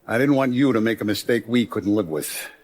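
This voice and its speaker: An actor playing an older Italian-American mafia boss in a video game, paternal, calculating and ruthless, with measured, streetwise authority. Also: male voice, on the low side, a little mellow, lightly acted. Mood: quiet, paternal. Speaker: crime boss character. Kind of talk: cinematic video game voice acting.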